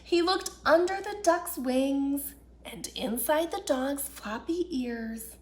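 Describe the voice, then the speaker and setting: A woman reading a picture book aloud to children as an expressive storyteller. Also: high-pitched, slow pace. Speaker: children's storyteller, children's ministry director.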